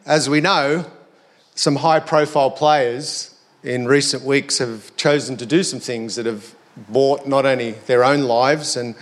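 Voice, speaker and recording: Slightly croaky Australian man preaching. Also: mid-range voice, a bit bright. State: slightly hoarse. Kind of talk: sermon to a congregation.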